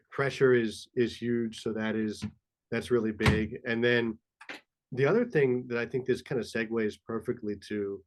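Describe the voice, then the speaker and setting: A man hosting a sports podcast, asking curious questions with enthusiastic interest. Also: medium pitch. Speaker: podcast host. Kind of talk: casual podcast chat.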